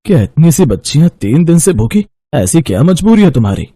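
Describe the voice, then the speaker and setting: A man voicing a kind, well-off gentleman employer in a cartoon story, polite and compassionate. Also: a little high, sing-song, brisk, no echo. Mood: concerned.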